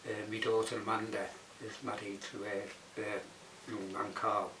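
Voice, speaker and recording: A man's voice: on the low side, monotone, moderate pace. A man in his sixties with a Liverpool accent, giving unscripted answers in an interview. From Bootle, Liverpool, England. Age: 60s. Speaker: documentary interviewee. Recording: roomy, distant mic, clearly noisy background.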